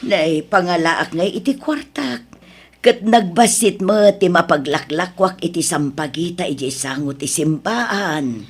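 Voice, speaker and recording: A woman playing an older village mother, husky, scolding yet resigned, in an Ilocano-accented audio drama. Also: lowish voice, measured pace.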